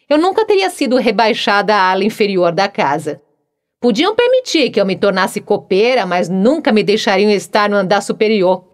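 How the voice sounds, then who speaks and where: A woman's voice: mid-range voice, close to an everyday voice. A woman narrator voicing a plainspoken, good-humored young housemaid who talks frankly and cheerfully. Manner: frank, cheerful, matter-of-fact.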